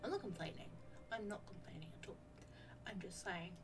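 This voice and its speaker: A woman's voice: mid-range voice, expressive, brisk, music in the background. A woman giving casual, offhand gameplay commentary in an informal way. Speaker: gaming content creator.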